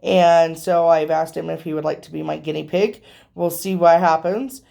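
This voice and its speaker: A woman hosting a casual tarot vlog who rambles chattily to her viewers, sounding hoarse and a little nasal from allergies. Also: deep voice, steady tone, normal speed, warm tone. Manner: rambling, chatty, with frequent ums. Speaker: tarot reader and channel host.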